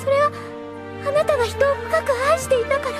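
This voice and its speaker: A pleading young huntress. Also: female voice, very high-pitched, racing, over music. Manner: pleading, emotional. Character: young woman vampire hunter, devoted, pleading. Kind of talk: Japanese radio drama acting. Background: drama score.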